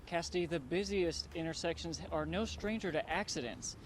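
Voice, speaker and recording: A man giving a scripted TV news report in a measured, emphatic reporter's delivery. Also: on the high side, slight background noise.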